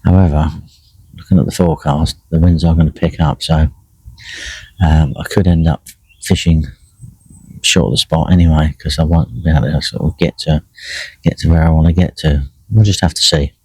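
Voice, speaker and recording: A middle-aged man with a croaky voice, chatting casually by himself while out fishing, with lots of fillers and restarts. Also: rumbling low, moderate pace, no room sound, low-quality recording. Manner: relaxed, rambling, many fillers and restarts. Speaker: carp angler vlogging a session. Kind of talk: casual solo vlog commentary. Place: in a fishing bivvy by a lake.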